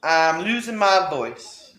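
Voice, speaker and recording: A man on a video call with a hoarse voice who keeps breaking into giggles. He talks slowly in a high, bright voice that swoops around, and the room behind him sounds echoey. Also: lively intonation. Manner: giggly, laughs constantly. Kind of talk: casual chat.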